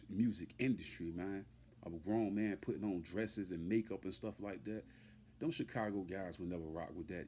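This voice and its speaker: A man's voice: low-pitched, mellow, a little hiss or hum. A man giving an unscripted, emphatic and indignant opinion rant as a hip-hop commentator, repeating himself and using street slang.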